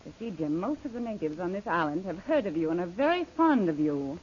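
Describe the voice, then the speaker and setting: A scheming radio-serial villainess, swooping and husky. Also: female voice, lowish voice, very animated, brisk, a little mellow. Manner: coquettish, theatrical, imperious. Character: audacious scheming villainess, coolly seductive adventuress. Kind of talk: old-time radio serial acting. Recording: dull, lo-fi old radio recording.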